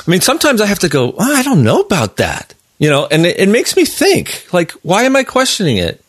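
Lively, dry-sounding man, swinging pitch. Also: brisk, slightly rough, no room sound. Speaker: interviewer. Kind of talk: casual chat.

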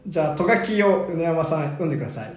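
A man's voice: fairly high pitch, lively intonation, quick pace. A man hosting a casual talk programme, explaining things and chiming in readily with agreement. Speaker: host presenting a conversation tutorial. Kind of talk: casual radio talk with role-played skits. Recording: roomy, echoey room sound.

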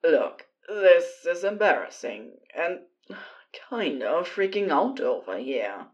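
A woman's voice: on the low side, very animated, slow pace, lo-fi sound. Woman playing an agitated, strained male caller. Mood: frantic, relieved. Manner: curt, hesitant, strained. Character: agitated, embarrassed, evasive man calling a helpline.

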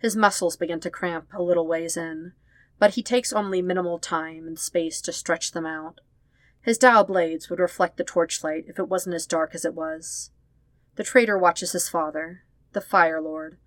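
A woman narrating dramatic fan fiction as an audiobook, with expressive, measured storytelling. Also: on the low side, no echo.

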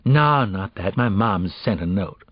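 A man's voice: fairly low pitch, lively intonation, unhurried, slightly rough, no room sound, dull audio. A man voicing an evasive, lovestruck teenage girl in an audiobook, slangy, reluctant and defensive as she confesses.

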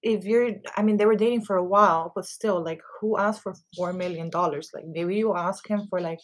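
A woman's voice: mid-range voice, a little fast. A woman in her thirties hosting a casual gossip podcast, chatty and loose, with lots of "like" fillers and casual swearing. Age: 30s. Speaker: podcast host.

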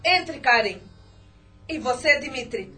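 A woman's voice: sits high, lively intonation, slow, bright, forceful tone, husky, soft background music, heavily acted. An actress playing a wealthy, firm mother in a radio drama, speaking with stern incredulity. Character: wealthy middle-aged mother, stern but indulgent.

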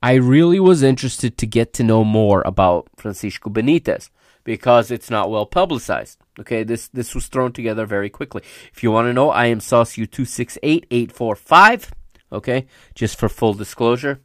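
A male podcast host gives unscripted sports commentary in a mid-pitched voice that is a little raspy from a recent illness. He talks emphatically but haltingly, often pausing to check in with the listener. The sound is dry, with no room echo. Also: lively intonation. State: slightly raspy voice recovering from illness.

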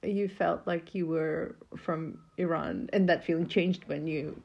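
A woman's voice: sits low, steady tone, unhurried, gravelly, a little mellow, husky, highs cut off. A woman interviewing a guest in a casual conversation and asking curious, probing questions.

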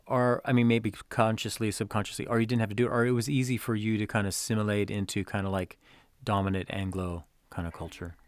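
Low-voiced male interviewer, dry sound. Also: level tone, fast, no echo. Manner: rambling, hedging questions. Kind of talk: conversational interview.

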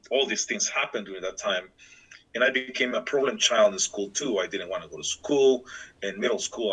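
A middle-aged man from Guatemala talks through his story, halting and restarting as he goes. His voice sits low and sounds husky, with a bright, forceful edge to it. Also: low-quality recording. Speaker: interviewee, church worker. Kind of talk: reflective interview talk.

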